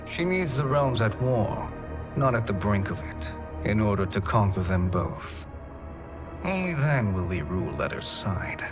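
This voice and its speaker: An actor playing a scheming, ambitious sorcerer in a video game, speaking in a formal, measured, calculating way. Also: male voice, lowish voice, very animated, over music. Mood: conspiratorial. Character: scheming, smooth-talking, ambitious evil sorcerer. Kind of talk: dramatic video game acting. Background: dramatic score.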